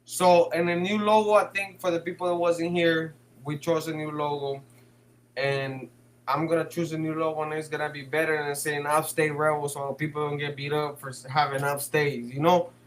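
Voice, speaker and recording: Brief: Bay guy hosting a live stream, slangy, cussing, a bit high-voiced, light hum behind. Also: level tone, normal speed. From San Francisco Bay Area. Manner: slangy, swears, laughs a lot. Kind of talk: casual live chat reading comments.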